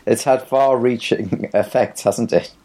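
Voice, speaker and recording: A young man co-hosting a podcast in dry, deadpan banter, his voice rough from a cold. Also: medium pitch, slow. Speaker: podcast co-host.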